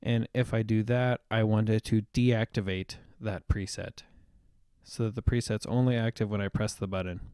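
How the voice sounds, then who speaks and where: A young man explaining software setup step by step in a screen-recorded tutorial voice-over, with the odd "um". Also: on the low side, steady tone, normal speed, smooth, dry recording, clean studio sound. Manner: explanatory, step-by-step, with occasional fillers. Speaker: tutorial presenter.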